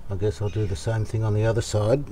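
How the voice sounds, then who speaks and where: Calm man thinking aloud. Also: fairly low pitch, background noise. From Australia. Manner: thinking aloud, calm and unhurried, plain-spoken with fillers. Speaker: radio restorer, channel host. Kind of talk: casual hands-on workshop narration. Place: outdoor verandah in the garden.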